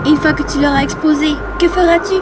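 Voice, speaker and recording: An actress playing a frantic woman companion in old Quebec, shouting in alarm, over background noise. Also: high-pitched, steady tone, quick pace, lightly acted. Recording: noisy background.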